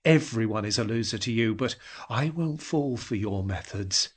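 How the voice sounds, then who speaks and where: A man's voice: on the low side, animated, moderate pace, husky. A man playing a bitter, obsessive man who mutters and fumes with hatred in an audio drama. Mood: seething hatred. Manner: muttering, fuming.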